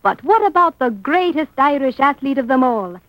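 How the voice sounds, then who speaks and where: A woman's voice: sits high, sing-song, normal speed, no echo, dull audio. An actress playing a spirited, boastful Irish woman in a 1940s radio show. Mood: spirited, challenging.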